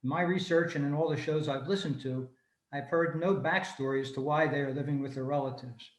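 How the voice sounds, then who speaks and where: Male club presenter, slightly high-pitched, reading prepared notes on a video call from an echoey room. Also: fairly even intonation, brisk. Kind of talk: scripted informational talk, read aloud. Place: room, remote video meeting.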